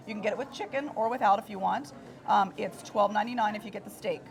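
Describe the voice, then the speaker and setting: A woman with a hoarse, froggy voice, chatting enthusiastically as a food show co-host in a restaurant. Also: mid-range voice, quick pace, soft background music. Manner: enthusiastic, gushing about food. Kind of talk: casual chat on a food show. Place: restaurant dining room.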